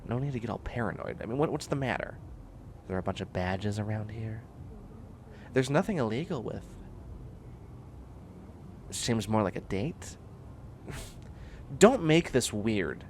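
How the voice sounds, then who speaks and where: A man's voice: lowish voice, lively intonation, a little slow, long pauses, a little mellow, a little hiss or hum. A man playing a tired, prickly, bookish biotech student in a sci-fi comedy audio roleplay, with a wry, fussy, rambling delivery. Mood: frazzled, wry. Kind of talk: scripted comedic audio roleplay, one side of a conversation.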